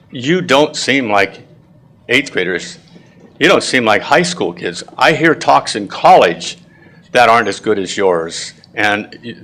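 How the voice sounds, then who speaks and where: A man's voice: medium pitch, fairly bright tone. Man giving an encouraging, proud ceremony speech. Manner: encouraging, proud, deliberate phrasing; frequent throat clearing. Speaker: school administrator giving a ceremony address. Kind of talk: prepared ceremony speech with personal anecdotes. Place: school promotion ceremony, speaking to an audience.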